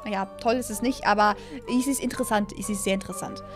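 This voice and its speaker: A young adult woman giving casual, excitable gameplay commentary as a host, repeating phrases and stumbling over words, close on a studio mic. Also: medium pitch, fast talker, over music. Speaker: gaming video host. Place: small room at a gaming setup, indoors. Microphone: close studio mic on boom arm.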